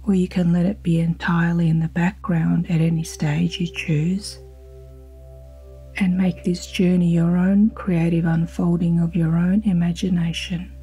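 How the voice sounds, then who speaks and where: A woman guiding a sleep hypnosis in a slow, soothing, soft-spoken manner with breathy phrase endings. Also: on the low side, little pitch movement. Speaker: hypnosis guide. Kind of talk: guided sleep hypnosis narration. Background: ambient music with singing bowl tones.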